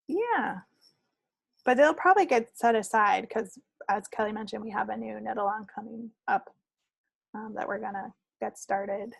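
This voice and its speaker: A woman's voice: fairly high pitch, moderate pace, vocal fry, slightly rough, no room sound. A woman chatting casually as co-host of a hobby podcast. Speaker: co-host of a knitting video podcast. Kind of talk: casual chat.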